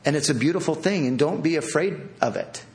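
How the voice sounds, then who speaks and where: A pastor preaching a sermon to a room of listeners, earnest and pleading, with repeated phrases and restarts. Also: male voice, fairly high pitch, brisk. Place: church hall with an audience.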